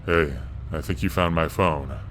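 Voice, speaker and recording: A man's voice: a bass voice, unhurried, a bit bright, slight background noise. A man voicing a strange male pursuer in a scary story. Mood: calling out. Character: strange man chasing someone, calling out about a phone.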